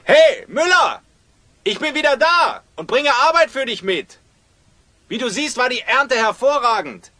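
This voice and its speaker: Lively, hearty young farmer. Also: male voice, extremely high pitch, unhurried, no room sound, full character voice. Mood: hearty, calling. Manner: hearty, theatrical, insistent. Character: hero posing as chatty, stubborn young peasant farmer. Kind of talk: German audio drama acting.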